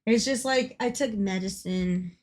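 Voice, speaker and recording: A young adult woman streaming a game, chatting casually with a slightly hoarse voice, laughing often and rambling with lots of fillers. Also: mid-range voice, a little slow, a little mellow. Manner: giggly, rambling, filler-heavy. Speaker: livestreamer. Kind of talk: casual chat while gaming.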